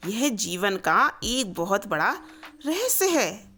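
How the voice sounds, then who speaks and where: A wise old grandmother from a moral storybook acted out by a woman, reassuring and instructive. She speaks slowly, with lively rises and falls, over music. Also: mid-range voice, full character voice. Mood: kindly, wise.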